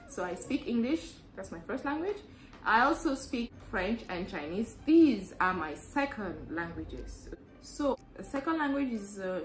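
Animated young woman explaining in a roomy space. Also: mid-range voice. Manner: animated, explains simply with frequent fillers. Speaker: language tutor. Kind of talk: casual explanatory chat. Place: living room, seated on a sofa indoors.

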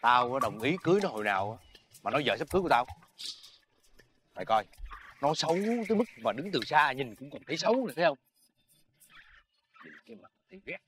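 A scornful young master in a fairy-tale drama, rude and brash. His mid-pitched voice jumps around expressively, with a Southern Vietnamese accent. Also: big pitch swings, quick pace, pauses often, a bit bright. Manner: brash, sneering.

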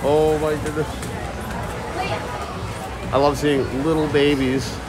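A man talking loudly and casually to viewers as he live-streams while walking around. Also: fairly high pitch, flat delivery, slow pace. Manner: loud, chatty, talks to viewers and chat. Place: outdoors at a busy shopping area, later indoors. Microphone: phone held while walking. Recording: phone audio with crowd noise. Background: crowd chatter.

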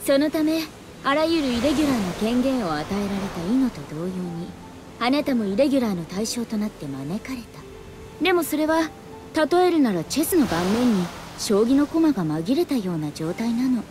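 A woman's voice: fairly high pitch, sing-song, moderate pace. A woman voicing an otherworldly young female being in a video game, playful yet solemn and knowing. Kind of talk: anime video game voice acting. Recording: noisy game ambience under voice.

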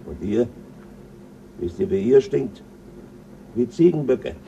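An actor playing a crude, boastful male crewman on a spaceship, coarse and quick to flare up, in an old radio play. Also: medium pitch, sing-song, creaky, mellow, far from the mic, dull audio, slight background noise, exaggerated voice. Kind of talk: 1970s radio play acting.

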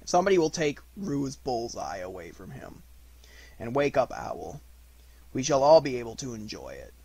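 A man voicing a gloomy, self-important old donkey in a children's storybook, halting and pompous, muttering asides. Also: mid-range voice, big pitch swings, vocal fry, darkish tone, breathy edge, no room sound, exaggerated voice. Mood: pompous, slow.

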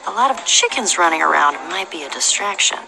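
An actress playing a keen, practical young adventurer in a video game, brisk and pragmatic. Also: female voice, on the low side, animated, gravelly, poor audio.

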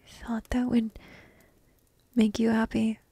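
A woman playing a young dragon princess in an ASMR roleplay, coy, teasing and lovestruck, half-whispering in a soft, intimate, breathy way. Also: a little high, monotone, takes it slowly, no echo, heavily acted. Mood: pleading, wistful.

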